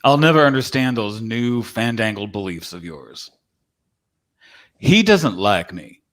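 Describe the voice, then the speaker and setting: A man's voice: fairly low pitch, animated, unhurried, fairly bright tone. A man playing a blunt, old-fashioned cowboy from a painting in a dramatic script reading, with folksy, old-timey phrasing. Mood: gruff grumbling.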